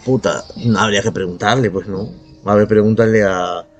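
A man's voice: lowish voice, steady tone, moderate pace, gravelly. A Peruvian man co-hosting a casual podcast, argumentative and sarcastic, swearing freely as he gives his opinions. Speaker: podcast co-host. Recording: background music under the voice. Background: music.

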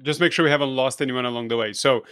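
Brazilian man, podcast host, teasing and quick to laugh, dry close sound. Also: medium pitch, fairly bright tone, clean tone, dry recording. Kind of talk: conversational interview.

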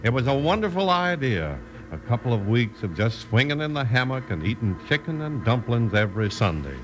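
A man's voice: mid-range voice, very animated, brisk, noisy background, natural voice. An American man narrating a vintage Western radio story in scripted 1950s announcer style. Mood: storytelling.

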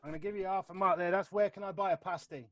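A man's voice: high-pitched, fairly even intonation, rapid-fire, no echo. A man co-hosting a casual chat, quizzing a guest with jokey, self-mocking humour and frequent laughs. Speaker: podcast co-host.

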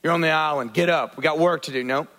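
A male pastor preaching a sermon, asking the congregation questions and acting out quotes in lively voices. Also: fairly high pitch, fast. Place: church.

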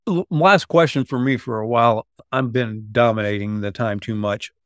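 A man with a medium-pitched voice asks questions casually as a podcast interviewer. He sounds curious and often restarts or rewords as he goes. The recording is dry, with hardly any room sound. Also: no room sound. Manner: curious, halting, rephrases questions mid-sentence.

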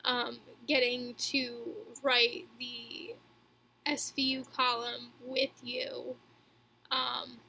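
High, bright young woman, unhurried. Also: monotone, vocal fry, slight background noise, rough recording. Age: 20s. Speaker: podcast co-host. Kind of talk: casual chat.

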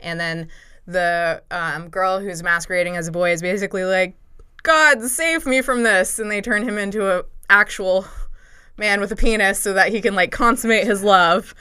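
A woman's voice: mid-range voice, moderate pace, bright, forceful tone, clear, resonant tone, dry recording, studio quality. A young woman presenting a topic on a casual chat podcast, chatty with lots of fillers and frequent laughter.

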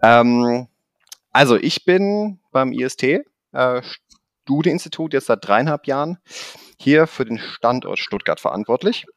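A middle-aged man from the Rhineland with a mixed Swabian and Rhineland accent, talking fast and energetically as a podcast guest, with plenty of fillers and self-mocking jokes. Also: medium pitch, expressive, dry recording, clean studio sound. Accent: mix of Swabian and Rhineland German. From Koblenz, Rhineland, Germany. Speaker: podcast guest, training institute manager. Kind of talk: casual interview talk.

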